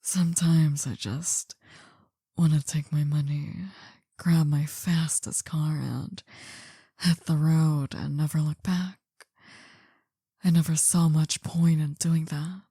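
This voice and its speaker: A woman's voice: low voice, steady tone, takes it slowly, dry recording, clean studio sound, full character voice. A woman playing a possessive, world-weary older mafia boss in an intimate whispered ASMR roleplay, tender and confiding. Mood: adoring, wistful. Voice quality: breathy, soft whisper.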